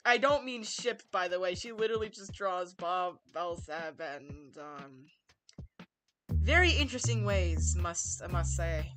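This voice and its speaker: A woman streaming a visual novel with casual, expressive chat, often repeating phrases for emphasis. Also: fairly low pitch, measured pace. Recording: music clearly behind the voice.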